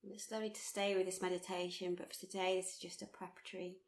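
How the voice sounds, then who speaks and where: A woman leads a guided meditation in a slightly low voice. Her intonation stays very flat and steady. She is soothing and unhurried, gently encouraging the listener and circling back over her phrases. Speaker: meditation teacher leading a body scan. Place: live workshop.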